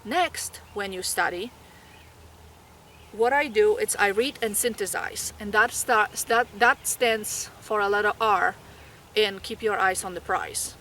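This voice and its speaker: Bright-voiced woman with a Polish accent. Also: mid-range voice, measured pace, slight background noise. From Poland. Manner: earnest, encouraging, with small grammar slips. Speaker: nursing graduate student. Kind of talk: advice talk to students.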